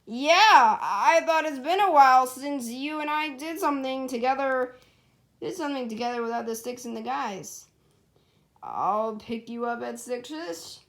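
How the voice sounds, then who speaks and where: A woman's voice: high-pitched, unhurried, a bit bright, pitched above the performer's own voice. A woman voicing a cocky but awkward young male hedgehog hero in a storybook, stammering and boastful. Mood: nervous.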